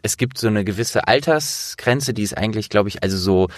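A man hosts a casual interview. He talks in a chatty, animated way, and the sound is dry and close. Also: lowish voice, a little fast, no echo. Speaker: interviewer and host, trained actor.

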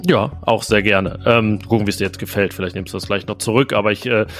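A man's voice: fairly low pitch, steady tone, fast talker, a bit bright, soft background music. A man hosting a casual football podcast, chatty with frequent fillers. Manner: conversational, filler-heavy. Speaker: podcast host, sports reporter.

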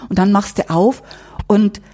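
Mellow woman explaining conversationally. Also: medium pitch, steady tone, a little slow. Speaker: exhibition curator and historian. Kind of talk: conversational interview, guiding through an exhibition.

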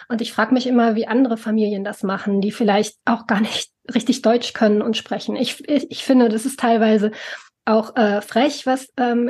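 A woman talking as a parent on a panel discussion, her voice husky and a little higher than usual. She speaks reflectively, with frequent pauses and fillers as she gathers her thoughts. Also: darkish tone. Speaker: parent guest.

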